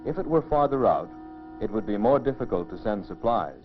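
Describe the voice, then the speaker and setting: Old educational film narrator guy, lowish voice, explains things steadily, muffled vintage soundtrack. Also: very animated, faint music behind. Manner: measured, explanatory. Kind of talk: scripted mid-century educational film narration.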